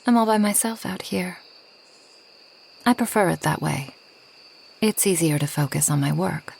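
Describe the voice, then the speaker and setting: A woman playing a reclusive, ageless forest witch in a soft ASMR roleplay, gentle and wry, often slipping toward a whisper. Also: on the low side, normal speed, creaky, a little hiss or hum. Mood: soft, wistful. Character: reclusive, centuries-old kind but proud forest witch.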